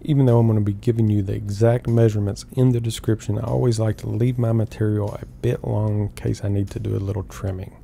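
A middle-aged man with a low, gravelly voice and a dark, mellow tone. He talks through each step calmly and plainly in an instructional voice-over. A faint hiss sits under the recording. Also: fast, hardly any pauses. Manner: calm, step-by-step explaining. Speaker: woodworking host.

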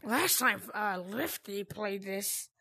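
A woman chatting casually while playing a game, reacting out loud as it happens. Her voice is strained because she is losing it, and it has a gravelly, bright edge. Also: mid-range voice, animated, slow pace. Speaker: gaming video host. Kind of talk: casual gameplay commentary.

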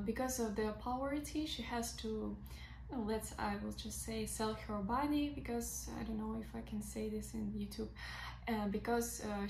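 Russian woman, creaky, in an echoey room. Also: on the high side, a little mellow, a little hiss or hum. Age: young adult. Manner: halting, many uh fillers, simple non-native grammar. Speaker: Russian literature teacher and vlogger. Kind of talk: casual talk to camera. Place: bright bedroom by big windows, indoors.

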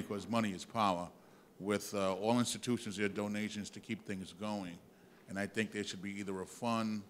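A man's voice: lowish voice, fairly bright tone, slight background noise. A man giving an earnest, emphatic comment from the audience at a panel discussion. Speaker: audience member. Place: large theatre hall.

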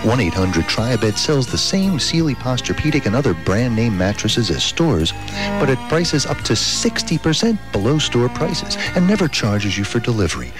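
A man's voice: mid-range voice, big pitch swings, moderate pace, music in the background, rough recording. A man reading a radio advertisement as a commercial announcer.

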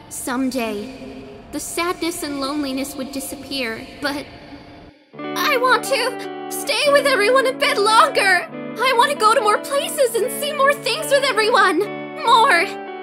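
A high-pitched, vulnerable anime teenage girl voiced by a woman, full of sorrow and longing. Her emotional confession rings in long reverb with loud music behind it. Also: animated, a little slow, full character voice. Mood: tearful pleading. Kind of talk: amateur anime manga dub acting.

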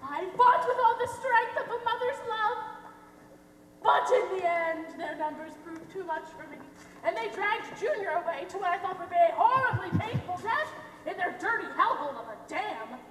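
Echoey hall stage recording of a young woman acting as a gushing, scheming mother. Her very high voice swoops through overblown, theatrical lines. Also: lively intonation, normal speed, fairly bright tone. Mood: melodramatic. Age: young adult. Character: gushing, melodramatic, scheming mother putting on refined charm. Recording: echoey hall, faint noise.

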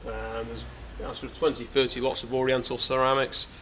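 A man's voice: mid-range voice, flat delivery, fairly quick, a bit bright, a little hiss or hum. A young man, an auctioneer giving a casual, hesitant walkaround preview of his sale in a saleroom, recorded on a camera mic. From England. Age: young adult. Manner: chatty, hesitant with many fillers. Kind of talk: informal walkaround sales preview. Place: large indoor auction saleroom.

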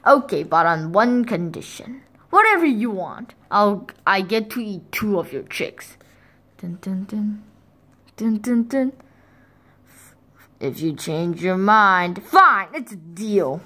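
A woman voicing a big, scheming male wolf, lowering her voice into a gruff tone. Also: expressive, takes it slowly, pushed and bright, heavily acted. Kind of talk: storybook read-aloud.

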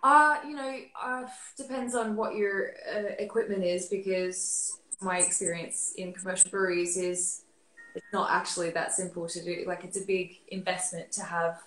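A woman's voice: fairly low pitch, little pitch movement, normal speed, a bit bright, soft background music. A woman in her thirties from Australia, talking casually as a beer expert guest on a video call from home, full of fillers and restarts. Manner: chatty, many fillers and restarts. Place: living room at home. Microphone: laptop webcam on video call. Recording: roomy webcam audio.

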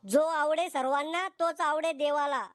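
A man's voice: very high voice, fairly even intonation, unhurried, a bit bright, dry recording, clean studio sound. A man voicing a cheeky young friend who preaches mock-earnestly, in a comic cartoon style with a Vidarbha Marathi accent. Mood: preachy. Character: cheeky, philosophizing young friend defending young love.

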